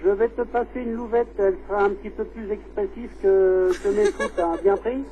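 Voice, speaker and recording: A man speaking over amateur radio as a scout leader, in procedural call phrases broken by hesitant pauses. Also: high voice, steady tone, mellow. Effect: radio transmission. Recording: tinny, noisy amateur radio link.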